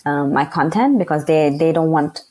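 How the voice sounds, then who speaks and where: A young woman from Afghanistan with a low, husky voice talks candidly in a relaxed interview. She is outspoken and unabashed, and she often hesitates with fillers while she looks for the right word. Her tone is conversational. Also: normal speed. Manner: frank and outspoken, searches for words with many fillers. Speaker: interview guest.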